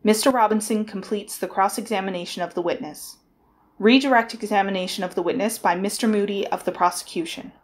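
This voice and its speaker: A woman's voice: medium pitch, fairly quick. A woman delivering scripted narration as the announcer of a dramatic reading.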